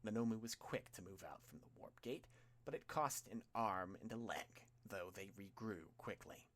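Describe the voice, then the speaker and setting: A man's voice: fairly low pitch, animated, moderate pace, fairly bright tone. A man reading fan fiction as an audiobook narrator, in a dramatic scripted style.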